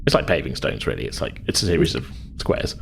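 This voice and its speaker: Casting: male game master, deep pitch, informal and hesitant improvised scene-setting, faint background noise. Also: lively intonation, fast talker, vocal fry. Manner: hesitant, loose, informal phrasing. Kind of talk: improvised tabletop game narration.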